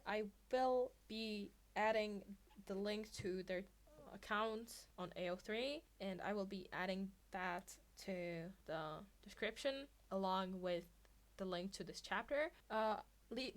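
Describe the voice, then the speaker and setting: A woman reading fan fiction aloud as a narrator. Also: mid-range voice. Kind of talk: story narration.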